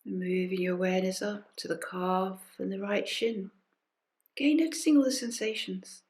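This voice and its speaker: Woman softly guiding a body scan meditation. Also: mid-range voice. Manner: gentle, unhurried, with pauses and soft invitations. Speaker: meditation teacher leading a group session. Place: recorded during an online session.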